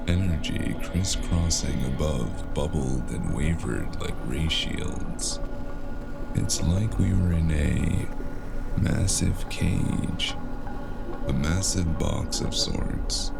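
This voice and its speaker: An actor playing a gruff, weary cyberpunk investigator, narrating his own story in a deadpan, noir-like audio drama style with a gravelly voice. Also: a bass voice, exaggerated voice. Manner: deadpan, profane. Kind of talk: noir-like scripted narration and dialogue. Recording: music behind the voice.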